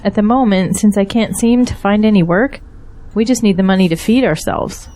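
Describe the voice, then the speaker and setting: Woman acting as weary mother, candid, resigned, mellow timbre, medium pitch, audio drama. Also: normal speed, natural voice. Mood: worried. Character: weary, candid, grateful mother facing hardship.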